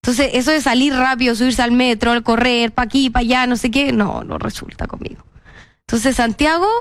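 Chatty young Chilean woman, crisp voice. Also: on the high side, brisk, no echo. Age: young adult. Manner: chatty, expressive, emphatic with repetition. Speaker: interview guest. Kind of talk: casual conversational interview.

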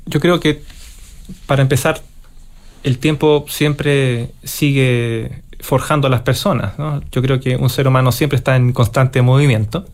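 A man from Chile with a Chilean Spanish accent, a conductor answering interview questions thoughtfully, pausing and restarting as he searches for words. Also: medium pitch, fairly even intonation. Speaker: orchestra conductor, interview guest.

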